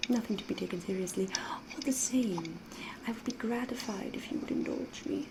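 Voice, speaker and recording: Woman acting breathy, flirtatious vampire lady, noisy ambience. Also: medium pitch, a little fast, natural voice. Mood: eager, coaxing. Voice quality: breathy, often whispering. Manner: genteel, old-fashioned, formal phrasing. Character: flirtatious, mysterious vampire woman posing as a genteel villager. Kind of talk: whispered ASMR roleplay.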